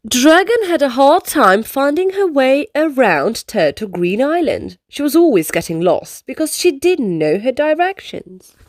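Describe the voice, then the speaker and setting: A woman's voice: sits high, very animated, unhurried, no echo, clean studio sound. A woman reading a children's storybook aloud, telling it expressively and explaining its lessons to young listeners. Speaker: children's book reader. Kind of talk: read-aloud storybook narration.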